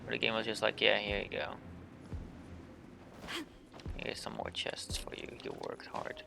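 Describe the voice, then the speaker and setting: A man's voice: fairly low pitch, monotone, unhurried, vocal fry, background noise. A young man giving casual livestream game commentary and chatting to viewers in a laid-back, joking, slangy way. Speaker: game streamer.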